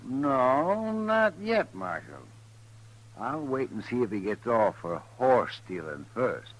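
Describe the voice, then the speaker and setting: A man's voice: medium pitch, big pitch swings, slow pace, poor audio. An actor playing a folksy, hesitant but sly American frontier townsman in an old-time radio western. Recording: vintage radio drama recording.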